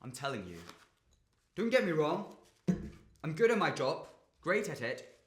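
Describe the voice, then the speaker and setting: A man's voice: on the high side, big pitch swings, a little slow. A young man acting a dramatic monologue as a drained, burdened young man, confiding with bitter, volatile emotion. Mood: frustrated, drained. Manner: confessional, sweary, emotionally volatile. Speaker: drama student actor. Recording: echoey room.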